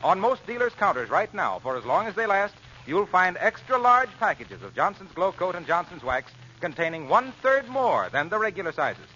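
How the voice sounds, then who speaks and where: Male radio announcer, animated, bright, polished 1940s sales read, muffled old recording with slight noise. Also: sits high, normal speed, hardly any pauses. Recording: muffled, faintly noisy vintage radio recording.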